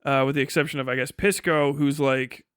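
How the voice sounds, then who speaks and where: A man's voice: mid-range voice, steady tone, brisk, a bit bright, smooth, dry recording, clean studio sound. A man giving casual, opinionated livestream commentary in a blunt, sarcastic and profane way. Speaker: livestream host reacting to a debate video.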